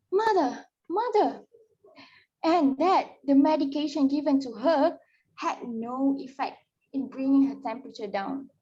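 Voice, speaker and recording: A woman performs a storyteller of sad ghost tales in a high voice. The dramatic reading is emotive and a little halting. The audio sounds muffled and low in fidelity. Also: a little mellow. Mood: grave, sorrowful.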